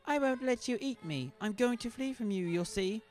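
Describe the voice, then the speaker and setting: A man voicing a frightened little red-headed boy who yells in a high, loud, panicky voice. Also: lively intonation, normal speed, soft background music. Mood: defiant panic.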